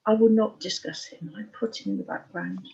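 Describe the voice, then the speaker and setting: Husky Englishwoman in her sixties, frank storyteller. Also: mid-range voice, brisk, mellow, highs cut off. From Oxfordshire, England. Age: 60s. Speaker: interview guest. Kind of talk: candid personal life story in conversation.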